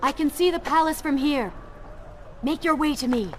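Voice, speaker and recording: Noisy ambience, actress as young game heroine, high voice, earnest, frank, gently teasing. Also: female voice, normal speed, lightly acted. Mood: calm. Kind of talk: video game voice acting. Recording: clear background game ambience.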